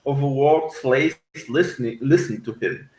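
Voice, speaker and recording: A man in casual review chat as a co-host, speaking in a hesitant, halting way. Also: medium pitch, a little mellow, roomy sound, rough recording. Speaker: podcast co-host reviewing a TV series. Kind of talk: casual review chat, partly reading notes aloud.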